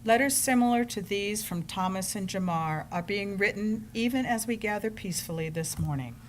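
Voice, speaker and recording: Mid-pitched woman reading scripted narration. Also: normal speed, a little hiss or hum. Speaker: narrator in a readers theater program.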